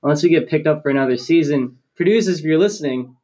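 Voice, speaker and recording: A man's voice: fairly high pitch, fast, dark tone. Playful young male podcast host. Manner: playful, jokey wrap-ups. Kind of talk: casual podcast chat.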